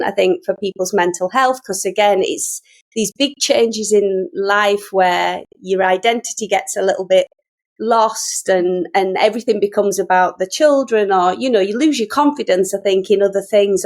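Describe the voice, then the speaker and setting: A woman's voice: mid-range voice, normal speed. Chatty middle-aged Yorkshire woman. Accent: Yorkshire English. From Yorkshire, England. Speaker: guest, sewing teacher. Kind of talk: casual conversational storytelling.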